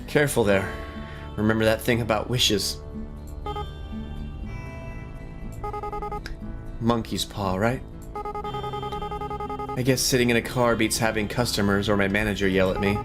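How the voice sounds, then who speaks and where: A man's voice: lowish voice, natural voice. A man reading game dialogue aloud as a weary, wistful survivor on the road after the apocalypse. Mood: wry, weary.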